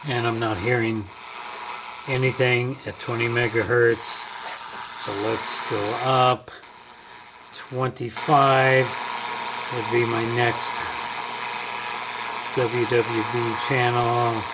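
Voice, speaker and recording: A man's voice: medium pitch, little pitch movement, slow, pauses often. A man giving a casual, unscripted hands-on product review, thinking aloud as he works indoors at home. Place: inside a house, under a kitchen-type cupboard. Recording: clear radio static and background hiss.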